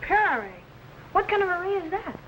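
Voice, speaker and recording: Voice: extremely high pitch, animated, a little fast, lo-fi sound, a little hiss or hum. A performer playing an eager, inquisitive young boy assistant in a 1950s science fiction serial. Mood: curious, amazed. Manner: wide-eyed questioning, dutiful repeating of orders.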